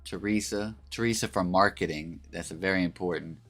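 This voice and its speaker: A man co-hosting a casual live stream. He has a cold, so his voice sounds stuffy and raspy, and he jokes around and greets viewers by name. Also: deep voice, brisk, fairly bright tone. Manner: laid-back, joking, greets chat by name. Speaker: stream co-host.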